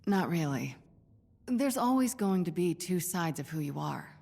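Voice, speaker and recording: Cool spy mentor, low n croaky, dry and calm, game cutscene. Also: female voice, measured pace, long reverb tail, heavily acted. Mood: calm, reassuring. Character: cool, seasoned female spy, mentoring and wry. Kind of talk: video game voice acting.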